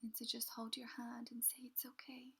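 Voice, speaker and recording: A woman guiding a healing visualization in a soft, slow, soothing whisper. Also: a little high, completely flat delivery, gravelly, husky. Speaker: meditation guide.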